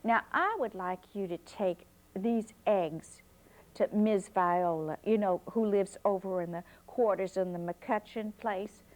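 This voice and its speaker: Older grandmother figure, firm, unhurried drawl, storybook telling, performed by a woman. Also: medium pitch, a little mellow, natural voice. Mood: caring. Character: warm, firm old grandmother.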